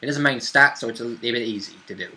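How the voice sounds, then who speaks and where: A man's voice: mid-range voice, rapid-fire, pushed and bright, low-quality recording. A man playing a tabletop roleplaying game, chatting casually and informally with mild swearing.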